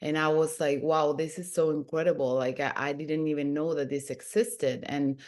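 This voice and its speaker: A woman's voice: sits low, steady tone, normal speed, darkish tone. Earnest woman guest from Peru. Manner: earnest, with frequent restarts. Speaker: podcast guest. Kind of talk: casual interview.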